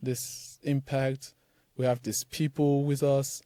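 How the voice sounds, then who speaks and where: A man's voice: mid-range voice, level tone, slow pace, mellow, no echo, clean studio sound. A young man from Nigeria, a startup founder talking loosely in an interview, full of fillers like "like" and "man". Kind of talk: casual interview talk.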